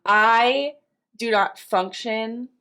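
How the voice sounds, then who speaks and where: A woman's voice: a little high, very slow, bright, forceful tone. A woman of thirty co-hosting a podcast, talking casually and frankly and swearing now and then. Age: 30s. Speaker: podcast co-host. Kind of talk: casual chat.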